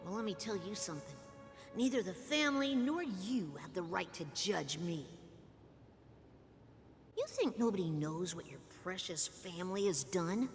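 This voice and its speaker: A woman's voice: lowish voice, expressive, moderate pace. A woman voice actor playing a haughty, mocking, defiant and cynical woman in a video game, with a taunting, theatrical drawl. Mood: scornful, taunting. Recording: long hall reverb, loud music behind. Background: game music.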